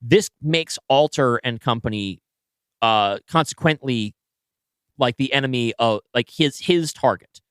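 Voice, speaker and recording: A man co-hosting a podcast, brainstorming story ideas in a casual, halting conversation as he thinks aloud. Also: mid-range voice, a bit bright, clean tone, no echo, clean studio sound. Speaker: podcast co-host and story writer.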